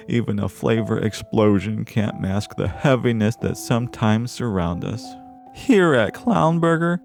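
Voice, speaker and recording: Tragic male clown pitchman, played by a man: lively swooping pitch, theatrically friendly yet needy, wistful radio-ad storytelling, gravelly edge. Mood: lonely, pleading. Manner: drawn-out, dramatic, wistful storytelling.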